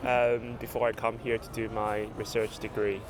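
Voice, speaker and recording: A man's voice: lowish voice, level tone, moderate pace, background noise. A man in his thirties from Taiwan, speaking English with a Taiwanese accent, explaining things patiently with hesitations. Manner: explanatory, with hesitations and non-native grammar. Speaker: guest doctor and researcher. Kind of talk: casual interview answers.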